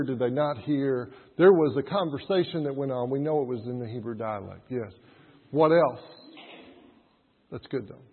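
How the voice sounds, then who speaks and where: A man's voice: mid-range voice, slightly rough. A man leading a Bible study class, calling on readers and prompting the group with questions, often restarting his sentences. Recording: tinny lo-fi sound with hall reverb.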